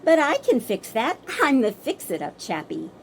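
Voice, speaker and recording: Woman acting a smooth-talking male huckster, storybook character, persuasive, theatrical, very animated, faint background noise. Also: fairly high pitch, moderate pace. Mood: eager sales pitch. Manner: salesman's patter, persuasive and showy. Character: sly, boastful male huckster creature, a smooth-talking salesman.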